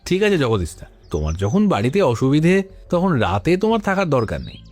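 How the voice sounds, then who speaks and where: Man acting an animated, assertive young husband. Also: mid-range voice, a little fast, music in the background. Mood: calm, instructing. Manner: direct, questioning. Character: assertive young husband, new tenant from the city.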